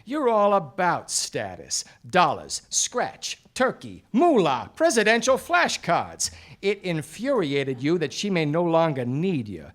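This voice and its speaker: A confident 1940s radio gumshoe, laying out accusations with theatrical relish. His pitch sits a little high and swings widely through slangy monologues. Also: wildly swooping pitch, takes it slowly, fairly bright tone, exaggerated voice. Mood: dramatic, accusing. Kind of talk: 1940s radio play acting. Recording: live radio play before studio audience.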